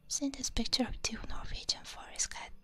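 A woman with a Hungarian accent, whispering softly and gently rambling through a binaural ASMR stream chat. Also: a little fast. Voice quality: soft breathy whisper. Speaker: VTuber streamer. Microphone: binaural mic.

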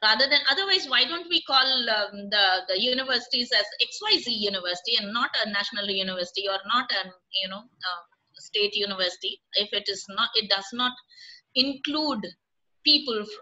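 Middle-aged woman prof on a video call, very bright voice a bit high, lots of ums and restarts, laptop mic at home. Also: normal speed, lo-fi sound. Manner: thoughtful, hesitant, many fillers and restarts. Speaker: panelist, professor. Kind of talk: panel discussion on a video call. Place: room at home with orange curtains. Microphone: laptop webcam on video call.

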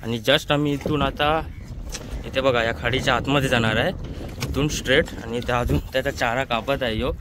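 A man's voice: medium pitch, fairly even intonation, fairly quick. A man with a Mumbai accent giving casual vlog commentary, repeatedly pointing things out to viewers. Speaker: vlogger. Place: outdoors on a small open boat on a creek. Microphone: handheld phone. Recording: noisy outdoor boat recording.

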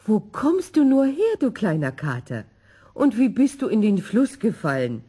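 A woman's voice: mid-range voice, wildly swooping pitch, unhurried, mellow, breathy edge, voice pushed up, exaggerated voice. A woman voicing a kindly farmer's wife in a storybook, motherly and fussing. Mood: tender concern.

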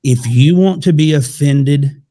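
A man's voice: a little high, measured pace, mellow, slightly rough. A man in his forties hosting a faith podcast, talking in an easygoing, chatty way and laughing often. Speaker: podcast host. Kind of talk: casual conversational interview. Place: home studio room. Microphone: podcast microphone on a boom arm.

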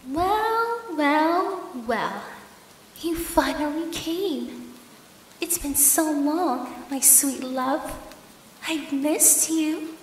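Slow, menacing yandere ex-girlfriend. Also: sits high, animated, full character voice. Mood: mocking, sugary. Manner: drawn-out, mocking, cooing endearments. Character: menacing, obsessive ex-girlfriend, sugary sweet yet unhinged. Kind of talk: ASMR roleplay audio drama. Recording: roomy echo, long reverb, faint noise.

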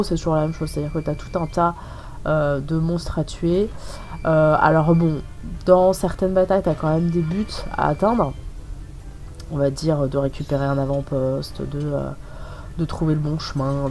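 A woman's voice: fairly low pitch, darkish tone, slight background noise. A woman with a French accent and a cold, giving casual, rambling gameplay commentary full of hesitations. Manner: rambling, many hesitations and fillers. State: has a cold, sniffly. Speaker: gaming channel host. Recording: voice-over with faint game music.

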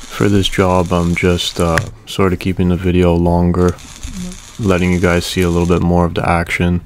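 A man casually narrating a welding repair video in a relaxed, matter-of-fact way. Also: deep voice, moderate pace, slight background noise.

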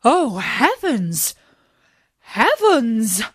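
A woman's voice: a little high, sing-song, extremely slow, husky, dry recording, clean studio sound, exaggerated voice. A woman voicing fussy, kindly little male dwarfs in an exclaiming manner for a children's storybook. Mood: amazed. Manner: exclaiming, questioning.

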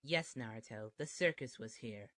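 A sardonic teenage ninja voiced by a man for a parody cartoon. The voice is crisp-edged and bright, recorded very dry, with deadpan sarcasm. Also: medium pitch, normal speed, no room sound, close to an everyday voice. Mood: mock earnest. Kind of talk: comedic parody dub.

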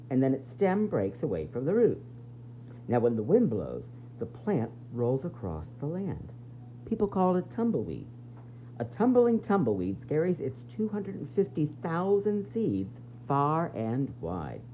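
A man's voice: a little high, dull audio, a little hiss or hum. A man reading a picture book aloud to young children like a teacher, with dramatic emphasis and playful asides.

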